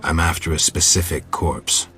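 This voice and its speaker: A man voicing a stoic, gruff monster hunter in a video game, terse, deadpan and sardonic. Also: a bass voice, moderate pace, faint music behind. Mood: curt, probing.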